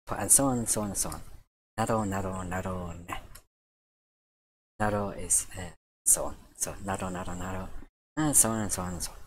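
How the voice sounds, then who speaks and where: A man's voice: low voice, fairly quick, long pauses, noisy background. A man teaching a casual vocabulary lesson over his screen, voice dry and tiring, easygoing and correcting himself as he goes. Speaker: Japanese language teacher.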